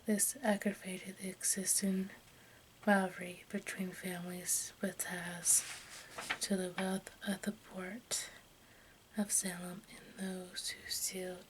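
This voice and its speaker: A woman whispering breathily in an informal ASMR style, reading in a halting way and stumbling over words. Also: mid-range voice, flat delivery, noisy background. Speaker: ASMR video host.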